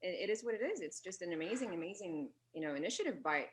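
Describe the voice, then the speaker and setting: A woman answering interview questions, explaining earnestly, often pausing and correcting herself. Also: lowish voice, animated, slight background noise. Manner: earnest, with many fillers and self-corrections. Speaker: radio guest.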